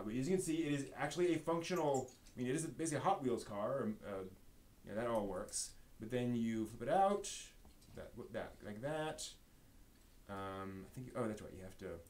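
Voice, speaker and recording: A man's voice: on the low side, a little mellow. A man hosting a casual live show-and-tell stream, rambling and thinking aloud as he handles things. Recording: noisy live stream audio.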